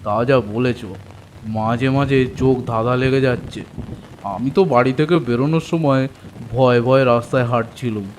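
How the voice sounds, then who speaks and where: Man voicing a brisk elder cartoon villager in rain. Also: mid-range voice, slight background noise, lightly acted, rough recording. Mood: companionable, brisk. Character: older village moneylender, brisk, reassuring elder friend, cartoon. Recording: rain and thunder behind voice.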